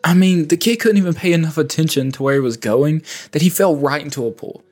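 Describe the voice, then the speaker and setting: A man's voice: fairly high pitch, fast, a little mellow. Reflective male podcast host. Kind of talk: scripted narration and interview questions. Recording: faint music bed behind.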